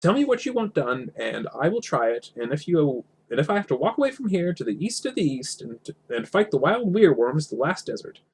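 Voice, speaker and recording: A man voicing a small, prim gentleman hobbit from a storybook in a huffy, dignified manner. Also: a little high, very animated, fairly quick, few pauses, husky, pitched above the performer's own voice, heavily acted. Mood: indignant, dignified.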